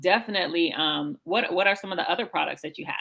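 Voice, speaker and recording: Female podcast interviewer, slightly low and gravelly, casual, enthusiastic and encouraging. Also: fast talker, a bit bright.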